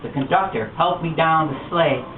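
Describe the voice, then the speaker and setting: A man reading a picture book aloud at a bookstore storytime, telling it with expressive animation. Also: a little high, moderate pace. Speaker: storytime reader. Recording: distant echoey lo-fi room recording with noise.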